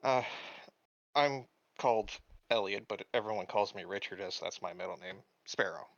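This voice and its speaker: Man as a low-pitched, matter-of-fact colony newcomer. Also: gravelly, dry recording, lo-fi sound. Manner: plain, matter-of-fact, halting. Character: easygoing male newcomer applying for colony security.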